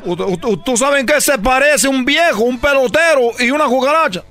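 Male comic as a boastful Cuban ballplayer with a put-on Cuban accent, high-pitched, teasing, faint music underneath. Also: lively intonation, normal speed, bright, forceful tone, exaggerated voice. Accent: Cuban Spanish put on. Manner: joking, teasing, rambling. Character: boastful Cuban baseball player man, cocky.

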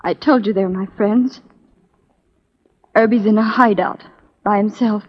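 A woman's voice: mid-range voice, fairly even intonation, measured pace, natural voice. A woman playing an earnest, torn young spy in a 1940s radio drama, in an intense, melodramatic manner. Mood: guarded, earnest. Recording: muffled 1940s radio recording.

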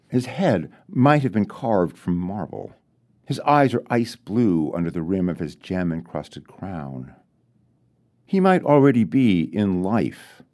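A man reading his own literary fairy tale aloud in a measured, deliberate way, with dramatic pauses. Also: low voice, warm tone. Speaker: author reading his own short story.

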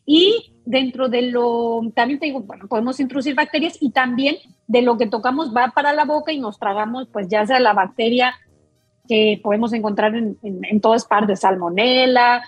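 A woman doctor explains a health topic as a guest on a talk show, taking her time and pausing on fillers. Her voice sits a little high and sounds husky and breathy, recorded dry and close. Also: no echo, muffled recording. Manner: explains patiently, with many fillers and restarts.

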